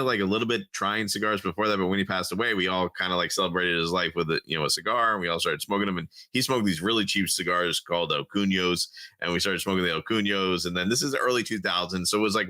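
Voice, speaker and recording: A middle-aged man with a very low yet strikingly bright, forceful voice tells family stories at a fast clip. He rambles cheerfully with lots of "uh" and "you know". The sound is dry and close. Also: no room sound. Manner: fast, chatty storytelling with many fillers. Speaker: podcast guest, cigar brand founder. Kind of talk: casual chat.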